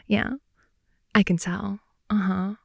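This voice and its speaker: A woman's voice: medium pitch, little pitch movement, slow pace, vocal fry, slightly rough, dry recording, clean studio sound, exaggerated voice. A young woman playing a goofy, clingy girlfriend who rambles and teases at bedtime in an intimate, improvised ASMR roleplay. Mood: playful, giggly. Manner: rambling, giggly, teasing, with hushed asides. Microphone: close mic, dry.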